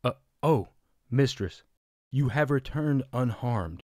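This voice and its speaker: A coarse, fawning henchman, voiced by a man in an audio drama, speaking very slowly and deliberately. The voice is mid-pitched and recorded dry and close. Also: expressive, darkish tone, dry recording. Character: crude, fawning male henchman.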